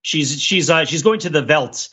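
A man co-hosting a casual film discussion podcast, thinking aloud in a halting, husky voice. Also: a little high, expressive, bright, forceful tone. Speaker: podcast co-host. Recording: slightly dull, lo-fi audio.

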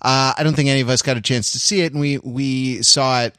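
A man hosting a casual panel podcast, talking in a halting, playfully theatrical way. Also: medium pitch, steady tone, a bit bright, clear, resonant tone, no room sound, clean studio sound. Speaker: podcast host.

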